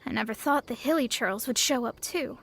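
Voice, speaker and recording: A worried traveling merchant in a video game. Her voice is high and talkative, rattled in manner, with a faint noise in the background. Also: fairly quick, fairly bright tone. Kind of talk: video game character acting.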